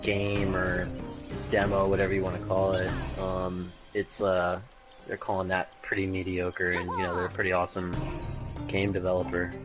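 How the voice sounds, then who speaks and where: A man chatting casually about games in a laid-back, halting way. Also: low-pitched, monotone. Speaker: gaming show co-host. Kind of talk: casual chat while gaming. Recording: loud music behind the voice. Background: music.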